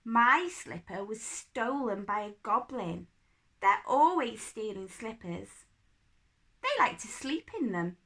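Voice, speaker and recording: An imperious little storybook princess, acted out by a woman reader, airy and fanciful. She sounds haughty and emphatic, with a bright, animated voice that leans high. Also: slow pace, exaggerated voice.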